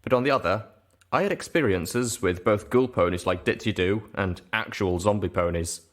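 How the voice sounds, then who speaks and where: Male audiobook narrator, lower-pitched, delivering scripted first-person fiction. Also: normal speed.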